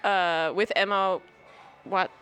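A woman's voice: medium pitch, steady tone, takes it slowly, a bit bright, clean tone, faint music behind. A woman co-hosting a podcast in a coffee shop, chatting playfully and tossing in quips and laughter. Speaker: podcast co-host. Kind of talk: casual, bantering chat.

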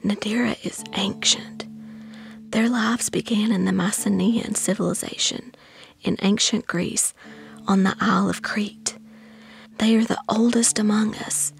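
A woman playing a calm, protective aunt in an audiobook, whispering guidance and folksy endearments to a child. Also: mid-range voice, normal speed, vocal fry, lightly acted. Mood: reverent, hushed. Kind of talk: dramatized audiobook dialogue.

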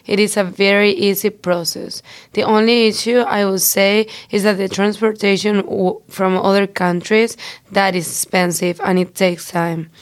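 A young woman from Spain, a student guest explaining a project carefully from prepared notes, with small slips. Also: mid-range voice. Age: young adult.

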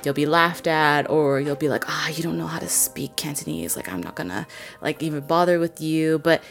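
A woman from Canada speaks in a low voice as a podcast guest. Her talk is casual and informal, in the loose phrasing of everyday conversation. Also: moderate pace, faint music behind. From Vancouver, Canada. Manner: informal, frequent "like". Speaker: podcast guest, story artist.

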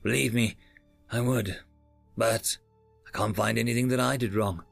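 Harried male technician in an audiobook, read by a man: earnest, defensive, gravelly edge, dry sound. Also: mid-range voice, fairly even intonation, moderate pace, dry recording, faint music behind. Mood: pleading, exasperated. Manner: earnest, pleading, hesitant. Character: anxious, exasperated male technician defending himself, chain-smoking. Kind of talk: audiobook character dialogue.

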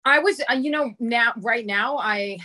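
A woman's voice: fairly high pitch, unhurried, pushed and bright. A woman in her thirties speaking as a podcast guest in casual, candid conversation, informal and self-deprecating, often saying "like". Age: 30s. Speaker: podcast guest, comedian and content creator.

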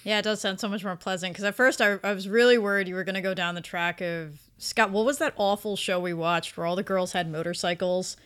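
A woman co-hosting a casual podcast discussion, talking in an animated, conversational way. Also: mid-range voice, fairly quick, few pauses, smooth. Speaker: podcast co-host.

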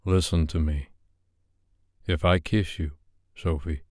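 A man playing a laid-back, reassuring photographer and lover in a romance audiobook, speaking in a calm, coaxing way. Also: deep voice, slow pace, dry recording, clean studio sound. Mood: commanding, intimate. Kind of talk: romance audiobook character dialogue.

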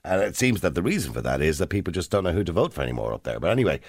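Irish male radio host, animated delivery, dry studio. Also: deep voice, racing, no echo. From Ireland. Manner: chatty, probing, self-correcting. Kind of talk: radio phone-in chat. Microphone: studio microphone.

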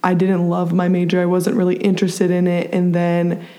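A woman's voice: on the low side, completely flat delivery, normal speed, mellow. A woman in her early twenties from Pennsylvania, a college student speaking as a podcast guest in a bubbly way, with many fillers and easy laughs. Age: early 20s. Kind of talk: casual interview chat.

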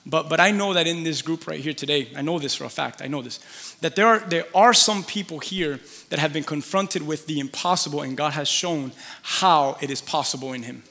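Fervent, bright-voiced male pastor. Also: on the high side, fairly quick. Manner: repeats words, fervent call-and-response. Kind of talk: sermon with call for testimonies.

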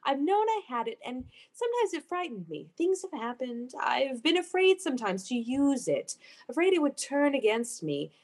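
Actress as a high-pitched, unhinged obsessive young woman. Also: big pitch swings, moderate pace. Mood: haunted, dread. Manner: theatrical, breathless, intense. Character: obsessive, unhinged young woman convinced of her dark power. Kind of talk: melodramatic old-time radio play acting.

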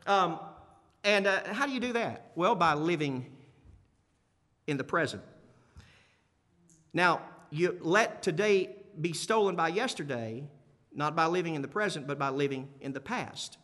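Bright, forceful male preacher in a hall. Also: on the high side, expressive, unhurried, pauses often. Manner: emphatic, repeats key phrases for effect; conversational, rhetorical questions to listeners. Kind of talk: sermon to a congregation. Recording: long hall reverb.

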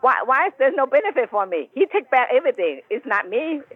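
A woman's voice: high-pitched, big pitch swings, a little fast, slightly rough, dry recording. A woman with a Chinese accent, a store owner on a phone call, talking bluntly in broken English and laughing often. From China. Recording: tinny phone line.

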